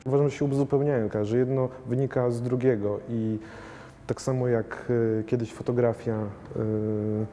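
A man in his thirties talks slowly through his ideas, stopping often to find words, in a warm, mellow voice. His voice carries a long reverb from a big gallery hall, with faint noise underneath. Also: medium pitch, little pitch movement. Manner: thoughtful, halting, many fillers and restarts. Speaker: painter. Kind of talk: gallery interview. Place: large gallery hall with paintings.

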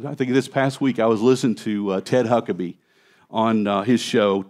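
Pastor in his fifties, plain mid-range male voice, relaxed conversational sermon delivery, close headset mic in a church hall. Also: medium pitch. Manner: conversational preaching, animated gestures at a lectern. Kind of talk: expository sermon. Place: church stage, large indoor hall.

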